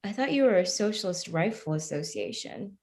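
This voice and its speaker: A woman's voice: medium pitch, expressive, a little fast, darkish tone, lightly acted. An actress playing an earnest, eager, apologetic woman radical in a staged play reading. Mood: amazed. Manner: earnest, solicitous.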